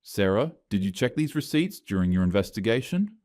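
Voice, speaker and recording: A man's voice: on the low side, very animated, fairly quick, darkish tone. A man reading a cold, pointed male coworker.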